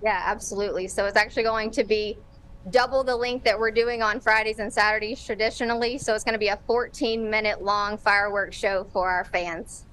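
A woman's voice: medium pitch, fairly even intonation, brisk, a bit bright. A young woman speaking as a marketing executive and guest in a live TV interview, running through event details from a stadium concourse over a video link. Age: young adult. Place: open-air stadium concourse.

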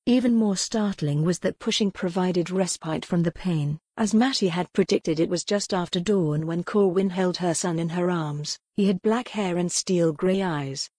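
A woman reads dramatic fiction as an audiobook narrator. Her voice sits a little low and has a husky grain. The recording is very dry. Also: normal speed, hardly any pauses, warm tone, no room sound.

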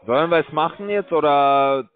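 Pushy scam caller on a tinny phone line. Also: male voice, a little high, moderate pace. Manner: broken German, filler-heavy, pushy salesman. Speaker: scam call center caller. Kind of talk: phone call.

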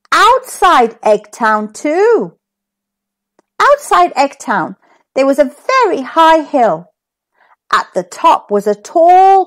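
Slow, high-pitched woman reading a storybook. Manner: animated, deliberate. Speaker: children's story reader and host. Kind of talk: expressive scripted storybook read-aloud.

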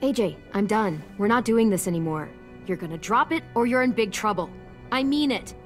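A woman's voice: mid-range voice, animated, a little fast, soft background music, close to an everyday voice. A woman playing a tough, protective teenage girl in a dramatic video game, firm and serious like a young parent. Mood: weary, stern.

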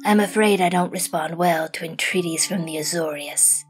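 An actress voices a coolly composed gorgon queen in a fantasy audio drama. She speaks in a lowish register with formal courtesy, over clearly audible music. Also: lightly acted. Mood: cool, courteous. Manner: polite, measured, formal. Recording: music under the voice.

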